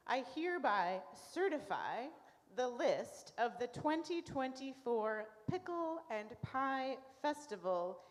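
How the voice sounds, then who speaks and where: Woman playing a slow-spoken, formal reverend. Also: a little high, big pitch swings. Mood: patient, formal. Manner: measured, formal. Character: patient, formal female reverend, dryly long-suffering. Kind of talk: comic live radio play. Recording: reverberant church hall.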